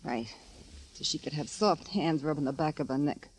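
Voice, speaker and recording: A woman's voice: deep voice, moderate pace, a little mellow, husky. An actress playing a jaded, possessive dance hall woman in 1950s radio noir, slangy, tough and knowing. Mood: defensive, bitter.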